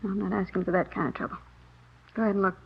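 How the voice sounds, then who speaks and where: A woman's voice: medium pitch, fairly even intonation, racing, creaky, background noise, lightly acted. An actress playing the self-serving girlfriend of a crook, indignant and evasive, in a 1950s radio crime drama. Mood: wary, defensive. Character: defensive, self-serving kept woman of a crook. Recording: dull old radio recording.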